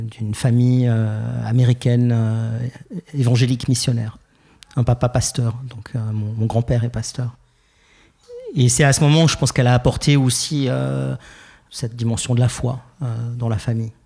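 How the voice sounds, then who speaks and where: Gravelly-voiced Swiss French man, thoughtful. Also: medium pitch, warm tone. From Geneva, Switzerland. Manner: thoughtful, hesitant, many fillers and restarts. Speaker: podcast guest. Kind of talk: reflective personal interview.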